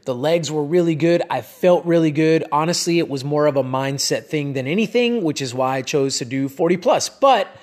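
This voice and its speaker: A man's voice: a little high, moderate pace, hardly any pauses, darkish tone. A man in his late thirties hosting a solo podcast, talking casually and rambling with many fillers and restarts. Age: 30s. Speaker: podcast host and cycling coach.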